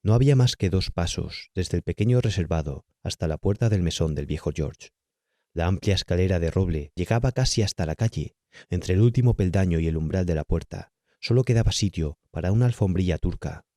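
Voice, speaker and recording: A man's voice: sits low, brisk, dark tone, no echo. A man with a Castilian Spanish accent reading a literary horror tale as an audiobook narrator, close to the microphone. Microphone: close, dry studio-style microphone.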